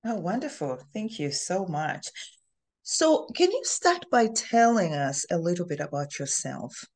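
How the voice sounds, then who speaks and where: A woman's voice: lowish voice, big pitch swings, measured pace, a little mellow. A woman hosting an interview show, giving an upbeat, polished introduction over a video call. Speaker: host. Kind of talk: scripted talk-show introduction.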